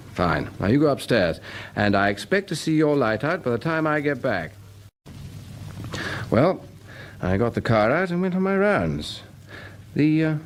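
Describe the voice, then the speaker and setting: A British man acting as a sensible, brisk doctor in a radio drama, talking in an animated, somewhat patronising way. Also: fairly high pitch, a little hiss or hum. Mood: breezy, reassuring. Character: sensible, brisk English male doctor recounting and reassuring.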